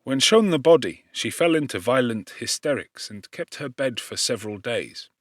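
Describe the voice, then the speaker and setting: A man with a mid-pitched voice narrates literary fiction. His delivery is solemn and expressive, measured like formal dramatic storytelling. The recording is dry, with no room sound around the voice. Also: dry recording. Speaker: audiobook narrator.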